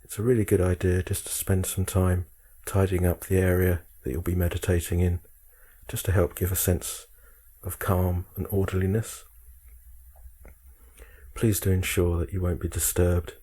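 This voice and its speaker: A man guiding a meditation, speaking calmly in measured short phrases with frequent pauses. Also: deep voice. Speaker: meditation guide. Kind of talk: guided meditation instruction.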